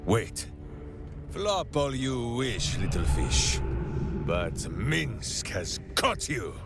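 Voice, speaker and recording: An actor playing a big, hot-headed but simple-hearted warrior in a video game, with bombastic, dramatic, halting delivery. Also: male voice, mid-range voice, big pitch swings, vocal fry, music in the background, heavily acted. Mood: defiant, blustering.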